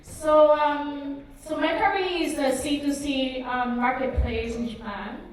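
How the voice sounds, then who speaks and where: High-voiced woman in an echoey hall. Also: fairly even intonation, a little slow, slight background noise. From Vietnam. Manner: hesitant, many ums and likes. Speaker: conference speaker. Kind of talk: conference talk. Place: conference hall. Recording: echoey hall, mic far off.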